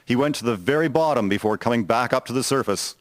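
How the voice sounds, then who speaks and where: A man's voice: mid-range voice, lively intonation, quick pace, no room sound. A man narrating a scripted dramatic story. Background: music.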